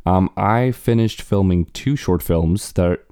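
A man's voice: deep voice, takes it slowly, mellow, clean tone, no room sound, clean studio sound. A man whose first language is Swedish, hosting his own podcast in a casual, earnest and upbeat monologue as an actor.

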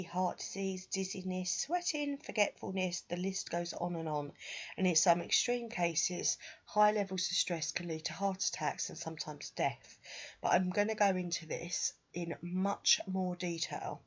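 A woman hosting a solo podcast while nursing a cold, so her voice comes out nasal and a little husky. She talks in a chatty, self-mocking way, a touch low for a woman, over a dull, lo-fi recording. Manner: chatty, laughs at herself. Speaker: podcast host. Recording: dull lo-fi sound.

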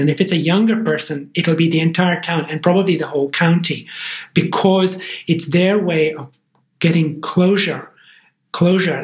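A man with an Irish accent hosting a conversation, telling stories in an emphatic way. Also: fairly high pitch. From Ireland. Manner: emphatic, storytelling. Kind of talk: podcast conversation. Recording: roomy echo.

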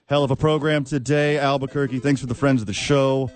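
A man with a Texan accent hosting a sports radio show, talking with loud, hype-man enthusiasm. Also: medium pitch, fairly even intonation, fairly quick, faint music behind. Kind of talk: casual sports radio chat.

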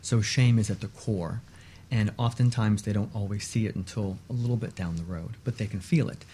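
A man speaking as an expert guest on a radio talk show, explaining in a measured, emphatic way and repeating points for stress. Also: lowish voice, warm tone, slight background noise.

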